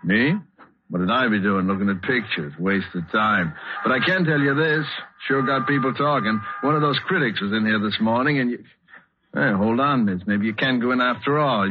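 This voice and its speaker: An actor plays a chatty, unyielding doorman in a 1940s radio play. He talks in a colloquial, matter-of-fact way at a middling pitch. The vintage recording is narrow and noisy. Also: natural voice. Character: unyielding, chatty, plain-spoken gallery doorman.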